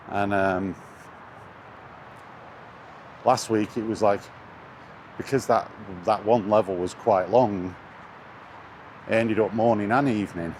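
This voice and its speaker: A man giving a casual, rambling vlog chat in a hesitant, laid-back way, recorded on a handheld camera outdoors. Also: fairly low pitch. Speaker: gaming YouTuber. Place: outdoors, walking near traffic. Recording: noisy outdoor recording with urban traffic. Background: outdoor urban and vehicle noise.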